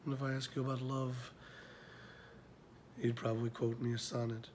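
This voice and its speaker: A man performing a dramatic monologue as a weary, wounded older mentor, speaking in a measured way with long pauses and hushed phrase endings. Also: medium pitch, little pitch movement, slight background noise, natural voice. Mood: tender, aching. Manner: measured, pausing, drops to near whisper. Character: weary older male mentor, tender, wounded, quietly confronting. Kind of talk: slow dramatic film monologue audition.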